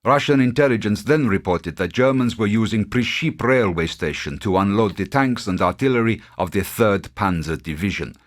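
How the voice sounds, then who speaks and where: A man narrating a war game cutscene in a grave, measured, scripted documentary style. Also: fairly low pitch, expressive, natural voice. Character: solemn male narrator of a war campaign.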